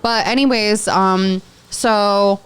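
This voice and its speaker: A woman's voice: mid-range voice, fairly even intonation, takes it slowly, clean tone, low-quality recording. A young woman from Tajikistan, whose first language is Dari, chatting as a podcast guest and telling stories frankly, packed with "like" fillers. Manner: rambling, full of "like" fillers, frank storytelling. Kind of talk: casual chat.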